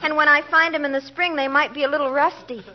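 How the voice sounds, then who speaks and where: Bit part: an actress as a high-voiced, apologetic young neighbor, sweetly scatterbrained. Muffled vintage broadcast sound. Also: female voice, steady tone, fast, natural voice. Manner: polite, apologetic. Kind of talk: 1940s radio comedy acting. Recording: muffled old radio recording with faint hiss.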